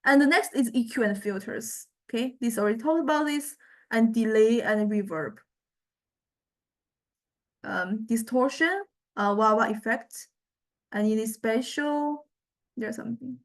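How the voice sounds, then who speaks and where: A woman with a fairly high voice gives an informal software lecture. She thinks aloud as she goes, explaining things tentatively and in halting phrases, like an instructor walking a class through a demo. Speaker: music technology instructor. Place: recorded software lesson.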